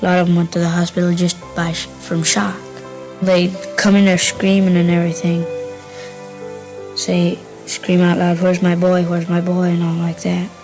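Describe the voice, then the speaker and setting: A young witness gives an unscripted account with an extremely flat, monotone delivery. The voice is on 1950s radio tape with faint music behind. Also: mid-range voice, normal speed, poor audio. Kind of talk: unscripted recollection in interview.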